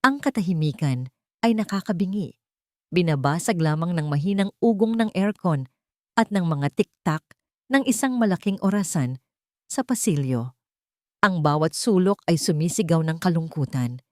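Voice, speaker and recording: A woman narrating a scripted drama story in a measured, somber way. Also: lowish voice, expressive, dark tone, dry recording, studio quality.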